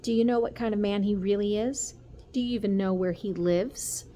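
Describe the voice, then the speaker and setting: Serious storybook police chief father read by a woman, calm, methodical, gentle, slightly noisy recording. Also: mid-range voice, moderate pace, close to an everyday voice. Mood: grave. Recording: noticeable background noise.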